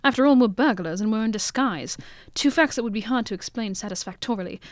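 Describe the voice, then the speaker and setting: Radio mystery lead: female actor as composed, commanding gentleman sleuth, higher register, formal delivery, dry sound. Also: dry recording, natural voice. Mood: brisk command. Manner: formal, measured, old-fashioned British gentlemanly phrasing. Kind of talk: old-fashioned detective radio drama acting.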